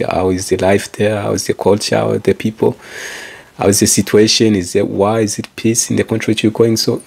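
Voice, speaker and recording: Deep gravelly man, Kikongo native speaker. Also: darkish tone. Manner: rambling, circles back with repeated phrases; calls out to listeners like a presenter. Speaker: author and speaker. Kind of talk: motivational monologue.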